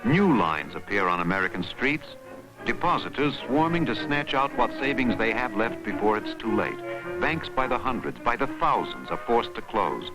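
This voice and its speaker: A man narrating a historical documentary in a solemn, dramatic, measured newsreel style. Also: mid-range voice, very animated, low-quality recording. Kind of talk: scripted historical documentary narration, mid-century newsreel manner. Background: music.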